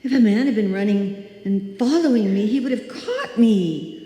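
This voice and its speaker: A woman's voice: medium pitch, animated, moderate pace, warm tone, lightly acted. A woman acting a frightened woman alone at night, talking to herself in a live old-time radio suspense play. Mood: relieved, reassuring herself. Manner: breathless pleading and self-soothing, theatrical. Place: live stage performance in a hall. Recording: echoey live hall, music behind.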